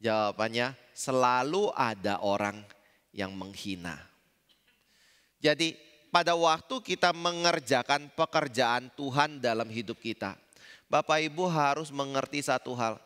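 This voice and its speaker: Javanese-accented pastor guy preaching, bright clear voice, long church-hall reverb. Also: mid-range voice, measured pace. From Indonesia. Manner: conversational preaching with rhetorical questions to the congregation. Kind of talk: sermon.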